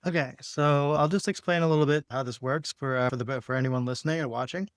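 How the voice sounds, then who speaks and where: A man with a very clear, smooth voice, recorded close and dry. He talks in a casual, laid-back way, like a spiritual podcast host guiding someone through a healing session. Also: medium pitch, fast talker, no echo. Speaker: podcast host and energy healer.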